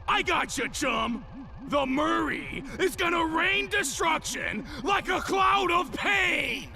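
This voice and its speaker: A man's voice: extremely high pitch, lively intonation, takes it slowly, gravelly, raised voice, heavily acted. An actor playing a big, boisterous, childlike cartoon bruiser who declares his own heroism bombastically in a video game. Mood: boisterous, triumphant.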